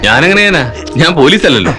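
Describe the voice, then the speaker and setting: A brash young action hero. He talks fast, with a lively, swinging pitch and a mocking edge. Loud film music runs behind. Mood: cocky. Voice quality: mocking, playful. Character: cocky, teasing young male hero. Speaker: hero posing as police. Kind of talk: dubbed film acting. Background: loud film score.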